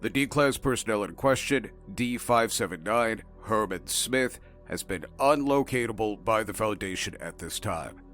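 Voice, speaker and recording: A man's voice: lowish voice, animated, unhurried, fairly bright tone, faint music behind. A man narrating in the scripted, formal style of a clinical scientific report.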